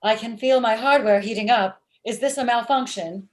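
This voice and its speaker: A woman's voice: a little high, moderate pace, close to an everyday voice. A woman voicing an earnest, literal-minded robot with stilted formal phrasing in a comedy table read over a video call. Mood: uneasy. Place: small room at home, video call. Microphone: video call computer microphone.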